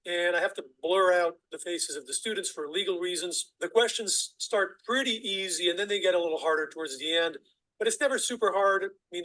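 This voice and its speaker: A man narrating an online nutrition video in a clear, measured, instructive way. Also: high-pitched, a bit bright, rough recording.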